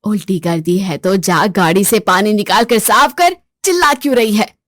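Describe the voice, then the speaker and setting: A woman's voice: mid-range voice, normal speed, fairly bright tone, no echo, clean studio sound, natural voice. A woman doing a blunt, bossy old mother-in-law. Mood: scolding. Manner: blunt, scolding.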